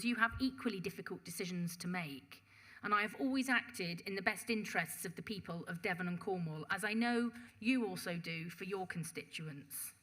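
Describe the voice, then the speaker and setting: Woman, commissioner type, bright clear tone, formal measured budget speech to a council panel. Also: mid-range voice. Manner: measured, reads out figures, occasional restarts. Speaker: police and crime commissioner presenting a budget. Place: council meeting chamber.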